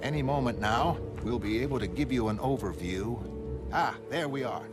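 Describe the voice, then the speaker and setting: Man playing a brisk hawkish military adviser. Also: medium pitch, over loud music, natural voice. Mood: blandly impressed. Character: hawkish military adviser, briskly matter-of-fact about war. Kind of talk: motion comic dramatic acting.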